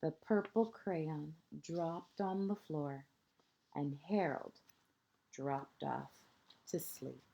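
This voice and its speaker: Female kindergarten storyteller, mellow and slow, gentle, expressive read-aloud with hushed phrase endings. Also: low voice, vocal fry, highs cut off. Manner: deliberate pauses, short phrases, asides to young listeners. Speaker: kindergarten teacher reading a picture book.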